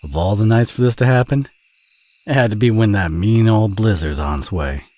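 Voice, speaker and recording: A man playing a friendly, modest country boy with a Southern US accent, chatty and gently teasing in an intimate audio roleplay. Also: fairly low pitch, moderate pace, natural voice. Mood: friendly concern. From southern United States.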